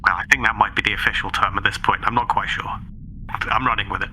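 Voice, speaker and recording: A man's voice: fairly low pitch, steady tone, fast talker, bright, forceful tone, slight background noise, poor audio. A man improvising in character in a tabletop game, speaking politely, tentatively and a little wordily.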